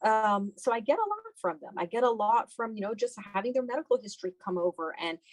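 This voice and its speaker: A woman's voice: a little high, very animated, fast talker. A middle-aged woman giving conversational, explanatory interview answers as a doctor, often repeating phrases for emphasis.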